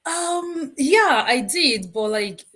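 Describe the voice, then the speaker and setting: A woman's voice: high-pitched, animated, drawn-out words, a bit bright. A woman talking hesitantly as a guest on a panel discussion, with many fillers.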